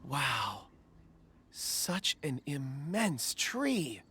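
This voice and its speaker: Low-voiced, pensive, mild-mannered searcher. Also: female voice, very animated, drawn-out words, fairly bright tone, a little hiss or hum, exaggerated voice. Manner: hesitant, pensive. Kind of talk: video game voice acting. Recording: background music behind voice.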